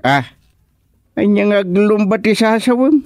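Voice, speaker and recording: Man as a slow-spoken village chairman. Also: high-pitched, lively intonation, darkish tone, dry recording, clean studio sound. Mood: questioning. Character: village chairman fielding residents' complaints. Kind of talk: Ilocano radio comedy drama.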